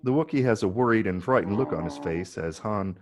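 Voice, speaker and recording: A man with a gravelly, husky voice reading scripted screenplay narration. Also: lowish voice, expressive, a little fast, faint music behind. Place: virtual call from separate location.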